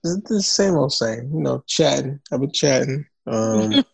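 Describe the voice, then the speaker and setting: A man's voice: mid-range voice, expressive, unhurried, vocal fry, husky, no echo. A man, co-hosting a podcast from home in a laid-back, slangy way. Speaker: podcast co-host. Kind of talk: casual podcast chat.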